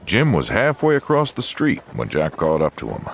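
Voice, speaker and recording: A man's voice: low voice, wildly swooping pitch, normal speed, creaky, a little hiss or hum. A man reading scripted narration for a dramatized western audiobook.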